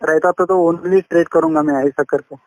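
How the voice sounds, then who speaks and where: A man on a phone call, asking as a student in a halting, eager, deferential way. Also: on the high side, fairly even intonation, no room sound. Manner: deferential, eager, halting, often says sir. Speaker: caller, student trader. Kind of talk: casual phone question and answer.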